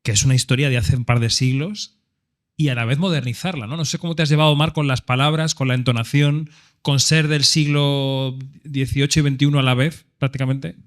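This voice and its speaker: A man's voice: medium pitch, fairly even intonation, fairly quick. A man with a Spain Spanish accent moderating a festival panel and asking questions in a friendly, conversational way. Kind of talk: hosting a panel discussion.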